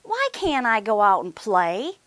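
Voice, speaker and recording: Woman reading as brave little bunny boy, lively. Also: mid-range voice, measured pace, dry recording. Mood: pleading.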